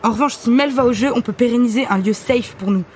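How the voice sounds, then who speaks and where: An actress playing a brisk, loyal athletics coach in an audio drama, urging and reassuring. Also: female voice, a little high, darkish tone, a little hiss or hum, close to an everyday voice. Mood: firm, persuasive.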